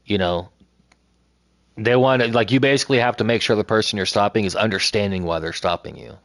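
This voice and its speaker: A man in casual chat, recounting a run-in and quoting others in a lower, quieter voice. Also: brisk, no echo.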